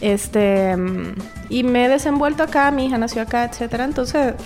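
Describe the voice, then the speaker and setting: A woman in her forties with a Salvadoran accent, a singer-songwriter talking as an interview guest, chatty and quick to laugh. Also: fairly high pitch, a little mellow, faint music behind. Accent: Salvadoran Spanish. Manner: chatty, laughs often, restarts words. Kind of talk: casual interview chat.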